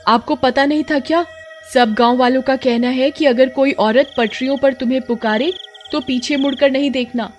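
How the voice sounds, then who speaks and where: A woman's voice: sits high, steady tone, moderate pace, soft background music, close to an everyday voice. A woman voicing an anxious, superstitious village wife, questioning and exclaiming in a horror story. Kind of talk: melodramatic Hindi horror story acting.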